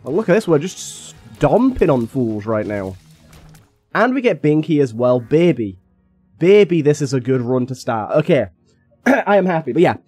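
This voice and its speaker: A young man with a slightly raspy voice from being a little ill, chatting casually and thinking aloud as he plays a game. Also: a little high, sing-song, normal speed, darkish tone, soft background music. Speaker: gaming YouTuber. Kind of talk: casual gameplay commentary.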